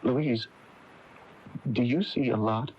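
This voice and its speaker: A male actor as an odd, withdrawn young man in a radio mystery. He speaks slowly, stammers and halts, and carries an unsettling lovesick intensity. The recording is dull and noisy, like an old off-air tape. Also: mid-range voice, close to an everyday voice. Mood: lovesick, insistent. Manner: halting, stammering. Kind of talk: radio mystery drama acting.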